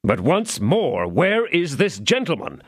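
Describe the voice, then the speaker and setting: A man's voice: fairly high pitch, huge pitch swings, a little slow, dry recording, clean studio sound, voice pushed up, heavily acted. A man voicing a stern, threatening young swordsman who demands answers.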